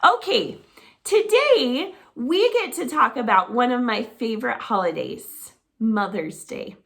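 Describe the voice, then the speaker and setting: A middle-aged woman teaching preschoolers, talking to small children in an animated, sing-song teacher voice. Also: on the high side, measured pace, vocal fry. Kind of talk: children's circle-time lesson to camera.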